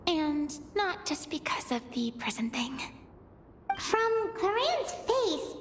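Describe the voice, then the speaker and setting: A woman voicing a small, childlike, excitable fairy companion in a video game, with a squeaky, expressive voice. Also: a little hiss or hum, exaggerated voice. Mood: relieved. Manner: speaks of herself in third person, expressive. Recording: long reverb, music behind.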